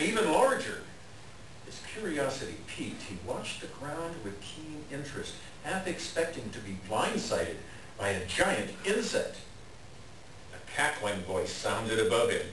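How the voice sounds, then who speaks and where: A man narrates a scripted tale with lively, dramatic swings in pitch. He is heard from a distance in a very echoey room with faint noise. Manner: expressive, dramatic. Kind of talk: scripted storytelling in reader's theater. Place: indoor library reading, distant mic.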